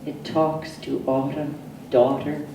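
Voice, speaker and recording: A woman poet reading her own work as a dramatic recitation, solemn and deliberate, with emphatic phrasing and pauses. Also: sits low, little pitch movement, dark tone. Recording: echoey, distant mic, noisy room.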